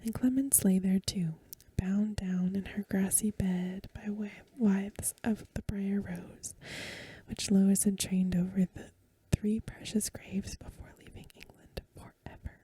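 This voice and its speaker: A woman reads a story in a hushed ASMR style. Her voice is whispery and very airy, with a mellow, dark tone. The phrasing is gentle and measured, and the sound is dry and close. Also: medium pitch, fairly even intonation, no room sound. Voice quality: breathy, whispery soft voice. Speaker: ASMR reader. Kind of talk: soft-spoken ASMR storybook reading.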